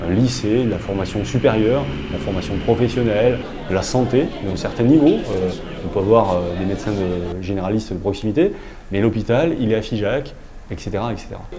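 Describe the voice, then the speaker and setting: A man, a local official, explaining in a public presentation style with halting, pause-filled delivery. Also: fairly low pitch, dark tone.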